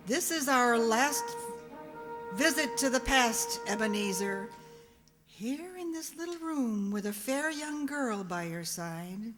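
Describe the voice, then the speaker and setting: Woman as a slow, solemn ghostly spirit. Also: on the high side. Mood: commanding. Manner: solemn, deliberate, commanding. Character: solemn, probing ghost of Christmas past. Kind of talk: radio play acting. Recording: long echoing hall reverb.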